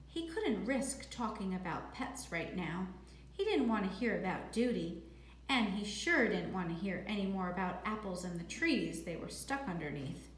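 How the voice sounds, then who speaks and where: A woman reading a children's novel aloud as a teacher would, in expressive storybook narration. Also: mid-range voice. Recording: echoey room, faint background noise.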